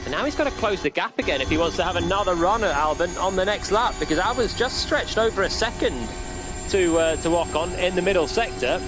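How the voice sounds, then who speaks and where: A man's voice: sits high, animated, brisk, music in the background. A man working as a motor racing co-commentator, giving analytical commentary on live race action.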